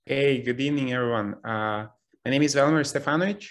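A man, a university teacher and referee, introducing himself briskly and politely. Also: mid-range voice. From Serbia.

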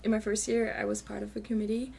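Young woman, student panelist, medium pitch, casual conversational delivery. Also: little pitch movement, fast talker, creaky, darkish tone, slight background noise. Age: young adult. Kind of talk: casual chat.

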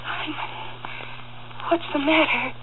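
A woman's voice: sits high, fairly even intonation, slow pace, heavy background noise. An actress playing a nervous young woman in trouble in a 1940s radio thriller, anxious, breathless and hesitant. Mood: frightened, pleading. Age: young adult. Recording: tinny old radio recording with music.

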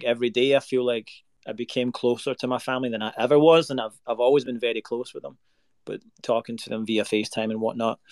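A man's voice: mid-range voice, no room sound. A man from Scotland with a Scottish accent, a singer-songwriter chatting earnestly on a video call as a guest. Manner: earnest, reflective, rambling with fillers. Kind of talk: casual interview chat. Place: home recording space in Nashville.

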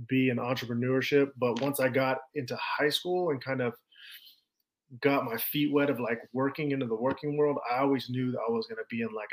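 Man, 30s, podcast guest; easygoing, rambling storyteller, average pitch. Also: slight background noise, low-quality recording. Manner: loose, rambling storytelling with many fillers. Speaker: podcast guest, business owner. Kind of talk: casual conversation.

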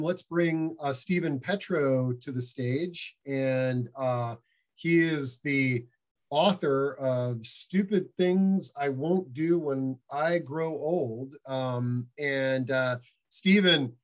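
Casting: male host, unhurried and rambling, fairly high voice, video call. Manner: slow, rambling with many fillers. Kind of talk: hosting a virtual panel.

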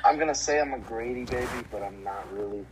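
A man's voice: mid-range voice, quick pace, background noise. A man from Georgia answering casual interview questions in a laid-back, joking way. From Decatur, Georgia. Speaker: interviewee, boyfriend.

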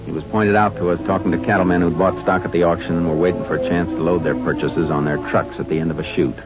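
An actor playing a steady, authoritative Texas Ranger in a terse, matter-of-fact 1950s radio crime drama style. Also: male voice, sits low, fast, music in the background, lightly acted. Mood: measured narration. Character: steady, authoritative male Texas Ranger investigator who also narrates. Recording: dull, lo-fi old radio recording.

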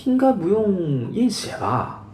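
A man's voice: high-pitched, very animated, slow, a little mellow, slight background noise, heavily acted. A man playing a reassuring, practical friend in an audio drama, talking casually and persuasively. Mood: helpful, persuasive. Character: reassuring, practical male friend giving advice. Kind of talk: audio drama acting, conversational. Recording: roomy echo.

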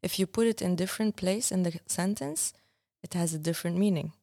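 A young woman, a filmmaker talking as the guest in a relaxed interview and often restarting as she searches for words. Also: lowish voice, fairly quick, creaky. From Syria. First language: Arabic. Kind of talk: casual conversation.

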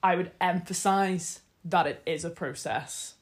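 A young woman chats casually as a co-host. Her voice sits slightly deep, and she talks hesitantly, circling and restarting as she works out what she means. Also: unhurried. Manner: hesitant, restarts often, thinking aloud. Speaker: podcast co-host. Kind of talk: casual chat.

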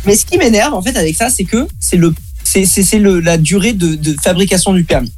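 A young man, a student, chatting casually and complaining in a fast, animated way. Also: sits high, soft background music. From Baden-Württemberg, Germany. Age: young adult. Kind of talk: casual chat.

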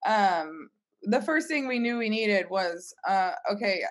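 A woman's voice: medium pitch, lively intonation, measured pace. A woman talking casually in an interview as a startup team member, retelling things informally. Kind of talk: casual conversational explanation.

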